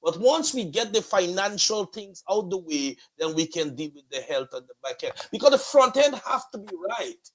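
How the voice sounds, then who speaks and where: A man's voice: high voice, fairly bright tone, poor audio. A man with a Guyanese accent hosting a motivational show like a preacher, with emphatic, flowery, repetitive rhetoric. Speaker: host and pastor of a motivational online show.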